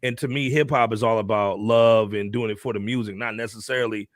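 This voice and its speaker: A man co-hosting a music podcast, talking in a relaxed, slangy way. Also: fairly low pitch, a little fast, no room sound. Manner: relaxed, slangy, drops "man" and "you know". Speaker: podcast co-host. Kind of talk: casual opinion chat.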